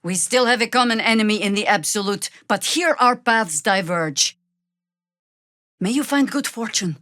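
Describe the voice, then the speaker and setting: An actress playing a seasoned, sardonic older female druid warrior in a video game, with a wry, commanding, measured delivery. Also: medium pitch, expressive, a bit bright, no room sound, studio quality, natural voice. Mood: stern. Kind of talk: video game voice acting, dramatic dialogue and battle barks.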